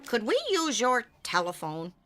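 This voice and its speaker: Sardonic cartoon schoolteacher, weary, dry mock politeness, very lively pitch, music behind. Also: female voice, slow, full character voice. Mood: weary, exasperated. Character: sardonic, weary female schoolteacher, theatrically exasperated. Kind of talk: animated cartoon acting.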